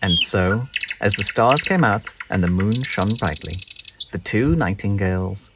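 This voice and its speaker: A man reading a children's story aloud in an expressive, scripted narration style. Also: lowish voice, unhurried, very noisy. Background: birdsong and insect sounds.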